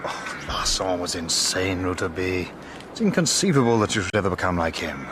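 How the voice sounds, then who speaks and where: A kindly, reassuring companion in a period radio play. His voice is low and quick with lively swings, over clear background noise. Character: kindly, reassuring male friend of a detective. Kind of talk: period radio drama acting.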